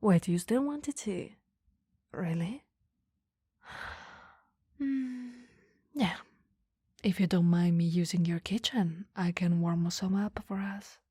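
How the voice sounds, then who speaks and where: A woman acts out a caring female boss with a flirty, easily flustered streak in an intimate ASMR roleplay. The voice is very breathy and mellow, often slipping into a whisper. It sits close and dry on the microphone, with a gently teasing, hesitant delivery. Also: fairly low pitch, slow pace, long pauses, no echo. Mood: relieved, gentle. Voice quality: breathy, soft.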